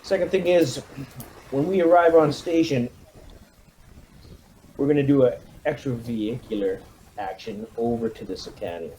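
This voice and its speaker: A man's voice: mid-range voice, normal speed, pauses often, warm tone, a little hiss or hum, low-quality recording. A man leading a squad in a tabletop roleplaying game, briefing it with military jargon and command phrasing over an online call.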